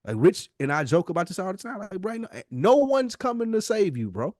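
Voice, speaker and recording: A man's voice: high-pitched, very animated, brisk, darkish tone, no room sound, clean studio sound. A man with an American accent speaking casually and emphatically as a podcast host, using slang and swearing. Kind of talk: casual, impassioned discussion.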